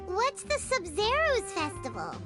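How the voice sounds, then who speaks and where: A woman's voice: huge pitch swings, measured pace, heavily acted. A woman voicing a small, curious, chirpy girl companion in a video game. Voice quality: very high, chirpy. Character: tiny high-voiced girl travel companion, curious. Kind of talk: game voice acting. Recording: game audio with reverb and music.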